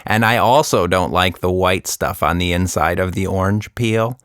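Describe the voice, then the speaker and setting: A man's voice: deep voice, moderate pace, fairly bright tone, clear, resonant tone, no room sound, studio quality. A man voicing a fussy, opinionated magical monkey in a children's story, rambling on conversationally. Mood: fussy, chatty.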